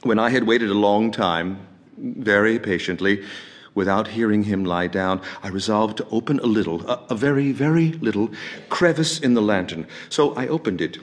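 A man performing a dramatic gothic horror monologue as a crazed, obsessive murderer confessing with feverish glee, theatrical and halting, with drawn-out words and repetitions. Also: on the low side, close to an everyday voice. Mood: hushed, brooding.

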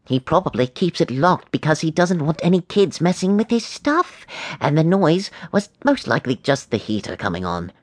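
A man's voice: a little high, big pitch swings, moderate pace, dry recording. A man voicing a shy, cautious, sensible schoolboy in a storybook, with a wary, reasoning manner. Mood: dismissive.

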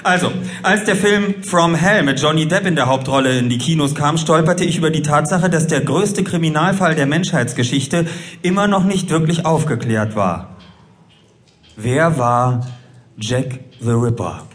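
A man playing an author and former journalist, presenting his invented characters in a deliberate, theatrical announcing manner. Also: on the high side, natural voice. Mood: reflective. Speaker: host presenting characters on stage. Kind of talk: live staged audio drama presentation.

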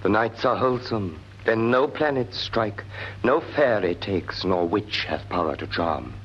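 A British actor playing a reverent soldier on night watch, lyrical in a classical Shakespearean radio drama. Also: male voice, lowish voice, lively intonation, measured pace. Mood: awed, reverent. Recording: muffled old radio recording with hum.

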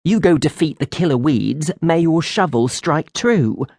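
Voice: mid-range voice, lively intonation, measured pace, a little mellow, no echo, studio quality, pitched above the performer's own voice. A storyteller voicing a vain, witty male fairy who mocks everyone in a theatrical way. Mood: mocking. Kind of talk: audiobook character voice.

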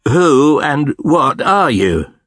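An old miser from a storybook, acted out by a man. He is startled and pleading, speaking slowly with big swoops in pitch. The sound is dry and close. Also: very animated, a bit bright, no room sound, heavily acted. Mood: demanding. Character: Scrooge, startled elderly miser, pleading and awed.

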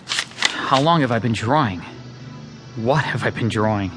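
A man's voice: mid-range voice, animated, a little slow, natural voice. Earnest, troubled artist played by a man, music underneath. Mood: bewildered. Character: obsessed, lonely male amateur artist, broke and self-doubting. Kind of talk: dramatized audio drama, first-person narration and dialogue.

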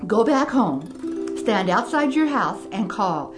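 A woman voicing a stern, knowing old wise woman who gives deliberate, scolding advice, with guitar music underneath. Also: medium pitch, very animated, pitched below the performer's own voice.

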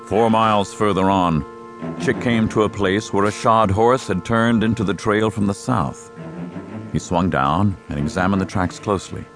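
Very deep male western narrator. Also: music in the background. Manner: measured storytelling. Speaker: audiobook narrator. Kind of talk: scripted dramatic narration of a western.

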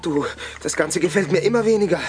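A nervous young man played by a male actor speaks in a fairly high voice, hesitant and protesting. He is a timid, reluctant companion in a horror audio drama, with music behind him. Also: quick pace, lightly acted. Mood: scared, reluctant. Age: young adult. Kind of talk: horror radio drama acting. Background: prominent music.

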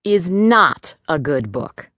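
A woman playing a spirited, defiant schoolteacher with an emphatic, deliberate delivery in a children's audiobook. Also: low voice, very animated, fairly bright tone, no room sound, clean studio sound, full character voice. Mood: firm, deliberate. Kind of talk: full-cast children's audiobook acting.

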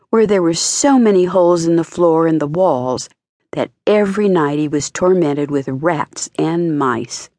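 A woman reading a children's fairy tale as an expressive audiobook narrator. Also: low voice, no echo. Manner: expressive storytelling.

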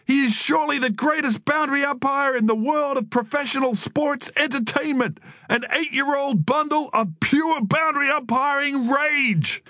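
A man's voice: extremely high pitch, normal speed, sharp tone, dry recording, studio quality, pitched above the performer's own voice. A man voicing a boy who mimics a bombastic American wrestling commentator, hyped and announcing, in an audiobook story. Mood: bombastic hype. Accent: American, put on.

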